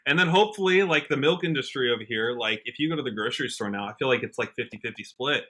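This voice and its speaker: Casting: young American man, bright clear-cut tone, upbeat, conversational podcast guest. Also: mid-range voice, fast talker. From Washington state, United States. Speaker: podcast guest, country musician. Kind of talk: casual interview chat.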